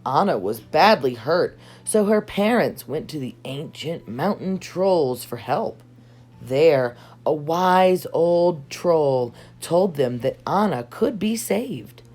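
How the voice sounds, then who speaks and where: A woman reading a children's storybook aloud in an expressive storytelling style, with measured, dramatic delivery. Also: sits low. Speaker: storybook reader. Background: background music.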